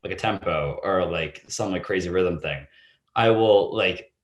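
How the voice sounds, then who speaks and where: A teenage boy talking casually as a podcast guest, rambling and full of hesitant fillers. His voice sits a bit low. The room adds a noticeable echo. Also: measured pace, lo-fi sound, noisy background. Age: teens. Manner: rambling, heavy use of "like", hesitant. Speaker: student guest. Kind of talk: casual interview answers.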